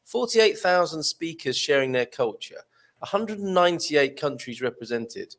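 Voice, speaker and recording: Lively, enthusiastic male host. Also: a little high, normal speed. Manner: enthusiastic, praising, repeats words. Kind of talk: casual interview chat.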